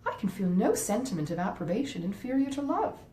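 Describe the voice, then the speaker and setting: A woman's voice: sing-song, fast talker, mellow, lightly acted. A woman voicing a sentimental, affectionate middle-aged mother in a Regency storybook reading. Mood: gushing. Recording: roomy echo, faint rumbling noise.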